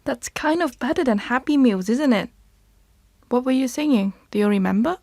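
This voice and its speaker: Wry young woman, played by a woman, highish voice, animated swings, curious, conversational stage dialogue. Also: normal speed, no echo, clean studio sound. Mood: amused. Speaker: actor in a student play.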